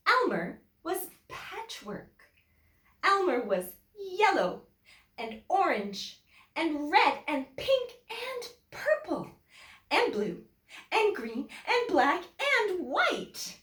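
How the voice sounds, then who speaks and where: A woman teacher reading a children's picture book aloud in an expressive, deliberate way, with emphatic phrasing for young listeners. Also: high-pitched. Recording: echoey room.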